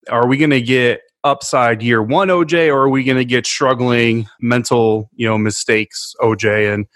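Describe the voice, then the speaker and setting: A man's voice: mid-range voice, fairly bright tone, no room sound. A man co-hosting a sports podcast, talking through his analysis in a conversational, explanatory way.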